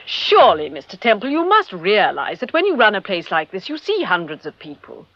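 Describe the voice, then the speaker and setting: An actress playing a brisk, indignant nightclub manager, articulate and defensive in an old radio drama style. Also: female voice, mid-range voice, huge pitch swings, a bit bright, no room sound. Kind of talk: mid-20th-century BBC radio drama acting. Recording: dull vintage radio recording.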